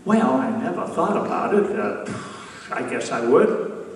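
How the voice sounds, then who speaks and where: A man's voice: on the high side, sing-song, a little slow. An older man playing a bald, befuddled husband in a scripted stage comedy routine with his wife. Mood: sheepish. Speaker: comedy duo performer. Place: theater stage before an audience. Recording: echoey theater hall, distant mic, noisy.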